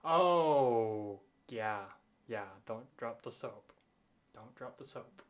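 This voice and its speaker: A man's voice: mid-range voice, lively intonation, drawn-out words. A man reacting casually and joking, rambling with hesitant restarts. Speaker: co-host reacting.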